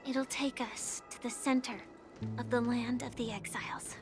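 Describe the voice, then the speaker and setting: A woman playing a composed young female guide in a sci-fi game, gently explaining things. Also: a little high, steady tone, moderate pace, natural voice. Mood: gentle, informative. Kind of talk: scripted game dialogue. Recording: game dialogue over music.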